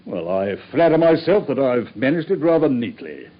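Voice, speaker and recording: An actor playing a self-satisfied male police inspector in a radio drama, smug and husky. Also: medium pitch, very animated, normal speed. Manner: smug, pleased with himself. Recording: dull old radio recording.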